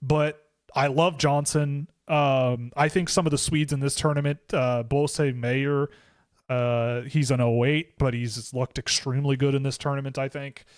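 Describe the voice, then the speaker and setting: A man's voice: mid-range voice, flat delivery, a little slow, no echo, studio quality. A man hosting a livestream, talking casually and rambling through chat questions, with lots of filler words and restarts. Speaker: host of a hockey draft livestream.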